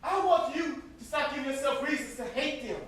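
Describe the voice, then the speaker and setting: A man's voice: very high voice, brisk, fairly bright tone. A young man acting in amateur stage drama as an accusing, wounded brother in grief, with an emphatic manner. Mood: hurt anger. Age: young adult. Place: indoor stage, recorded from a distance. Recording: very echoey, noisy stage recording from far off.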